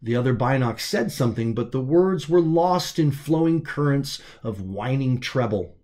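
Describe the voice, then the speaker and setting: A man's voice: mid-range voice, expressive, normal speed, darkish tone. A man reading his own science fiction story aloud as scripted, dramatic audiobook narration.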